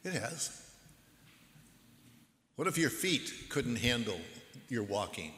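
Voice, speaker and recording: A man preaching a sermon as pastor in a church, with a measured, earnest delivery. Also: medium pitch, big pitch swings, fairly bright tone. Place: church sanctuary. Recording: echoey hall with long reverb.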